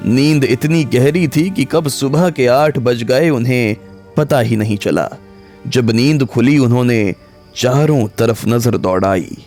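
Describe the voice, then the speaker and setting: A man narrates a scripted audio story in a medium-pitched voice. Soft music plays behind his narration. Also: takes it slowly. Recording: background music under the voice.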